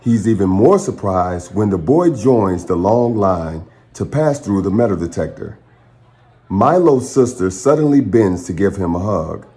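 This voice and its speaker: Low-voiced man reading a picture book expressively. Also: animated, mellow. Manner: dramatic, pausing phrasing, speaks to young listeners. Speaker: children's storytime reader and channel host.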